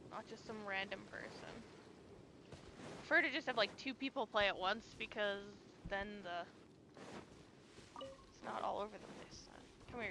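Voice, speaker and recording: A woman's voice: mid-range voice, background noise. A woman streaming a video game, chatting offhand with viewers and swearing casually as she plays. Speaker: gaming streamer. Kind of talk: casual live-stream chat while playing.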